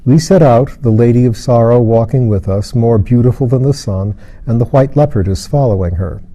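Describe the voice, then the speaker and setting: A man narrating a literary story as an audiobook reader, in a measured, careful manner. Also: mid-range voice, warm tone, highs cut off.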